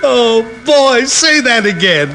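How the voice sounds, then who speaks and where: An actor playing a reformed old miser in an old radio drama, brash and fast-talking with tough-guy slang. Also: very high-pitched, expressive, music in the background, heavily acted. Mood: giddy. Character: reformed old miser Scrooge, giddy and generous. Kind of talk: 1950s radio drama acting.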